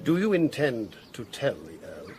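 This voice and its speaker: An actor playing a bulky, deferential, scheming butler in a period drama, formal and obsequious. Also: male voice, mid-range voice, huge pitch swings, a little slow, a little mellow, slight background noise. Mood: deferential, guarded. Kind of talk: period audio drama acting.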